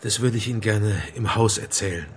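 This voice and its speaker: A man playing an earnest, level-headed sheriff's detective in a German audio drama, speaking in a measured, matter-of-fact way. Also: lowish voice, breathy edge. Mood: serious, considerate.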